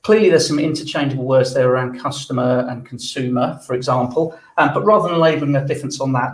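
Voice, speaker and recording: A man hosting a live webinar panel, chatty with plenty of fillers and restarts. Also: on the high side. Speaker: webinar host. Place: event venue room. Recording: echoey room.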